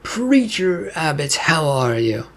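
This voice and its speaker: A man's voice: a little high, sing-song, slow pace, a bit bright, exaggerated voice. A man playing a friendly homeless man in an audiobook story, hesitant, polite and oddly candid.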